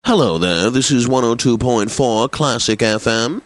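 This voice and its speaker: An actor playing a wry, pompous classical radio DJ in a video game, delivering announcements in a polished, theatrical manner. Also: male voice, mid-range voice, lively intonation, normal speed, no echo, close to an everyday voice. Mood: smooth announcing. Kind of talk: radio weather reports and station idents.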